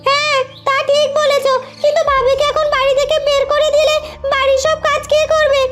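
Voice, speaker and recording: A woman's voice: very high voice, level tone, fairly quick. A woman playing a sly, taunting, scheming young unmarried daughter in a cartoon fairy tale. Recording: loud music behind the voice.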